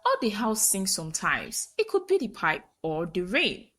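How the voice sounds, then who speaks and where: A woman with a Nigerian accent voicing a hopeful, easygoing wife and mother in a folktale, soft and reassuring. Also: medium pitch, wildly swooping pitch, moderate pace.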